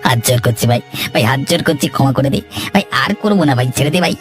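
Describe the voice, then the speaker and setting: Man acting a fast-talking scheming village schemer. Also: medium pitch, expressive, soft background music, lightly acted. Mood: pleading. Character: jealous scheming village man, bossy then grovelling. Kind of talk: cartoon voice acting in a moral story.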